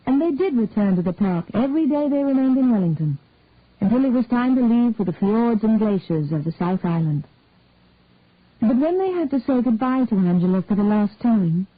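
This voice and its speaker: A woman reading a story aloud in a calm, measured way, with a tinny phone-like sound. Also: fairly high pitch, no echo. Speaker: narrator.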